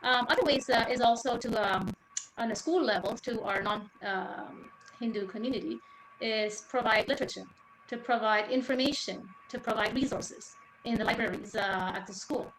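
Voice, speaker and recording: Woman teacher from Sri Lanka, panel speaker; earnest, halting delivery, roomy echo with faint hiss. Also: on the high side. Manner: earnest, with many fillers. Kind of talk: panel discussion remarks. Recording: echoey room, faint noise.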